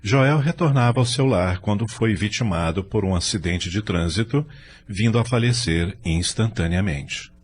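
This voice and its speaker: A man with a Brazilian accent narrating an audio drama in a solemn, deliberate and dramatic scripted style. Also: lowish voice.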